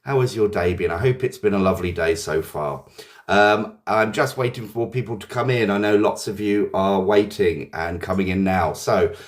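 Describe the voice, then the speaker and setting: A man's voice: lowish voice, moderate pace. A man with an English accent hosting a live English lesson, cheerfully greeting viewers by name as they join. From England. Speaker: English teacher.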